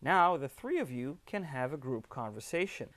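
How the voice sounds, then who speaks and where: A man's voice: medium pitch, very animated, moderate pace, a bit bright, slight background noise. A man giving scripted step-by-step instructions as an upbeat tutorial presenter.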